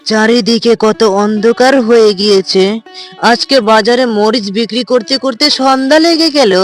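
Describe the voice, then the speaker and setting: A voice actor doing an earnest high young village woman. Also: fairly bright tone. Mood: defiant. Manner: earnest, pleading, somewhat shrill. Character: young unmarried village woman, dutiful chili seller. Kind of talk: cartoon voice acting.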